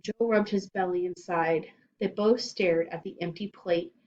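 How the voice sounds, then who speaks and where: A woman storyteller reading a children's book aloud in animated scripted narration. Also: on the low side, unhurried, muffled recording.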